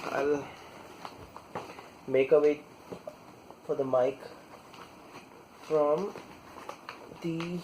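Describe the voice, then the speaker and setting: Halting man giving a slow hands-on tutorial. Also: fairly high pitch, a little mellow, lo-fi sound, slight background noise. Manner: halting, pausing mid-sentence while working. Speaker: product reviewer demonstrating installation. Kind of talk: casual hands-on tutorial talk.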